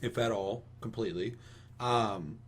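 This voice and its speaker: A man's voice: on the low side, measured pace, a little hiss or hum. A young American man hosting a casual podcast discussion, giving opinions emphatically with lots of casual swearing. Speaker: podcast host.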